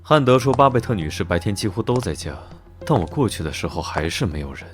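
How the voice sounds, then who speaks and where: A man playing an aloof, commanding nobleman in an animated drama, measured and detached with a dry, teasing edge. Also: on the low side, over loud music. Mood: cool, grave. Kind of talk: Chinese animated drama voice acting.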